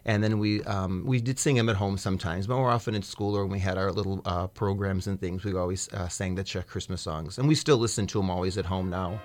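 A man's voice: deep voice, steady tone, fast talker, few pauses, no echo. A middle-aged American man as a radio studio guest, chatting in a hesitant, good-humored way with many fillers. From United States. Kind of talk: casual interview chat.

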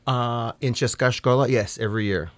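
A man's voice: mid-range voice, fairly even intonation, normal speed, no echo. A middle-aged American man as a radio studio guest, chatting in a hesitant, good-humored way with many fillers. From United States. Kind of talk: casual interview chat.

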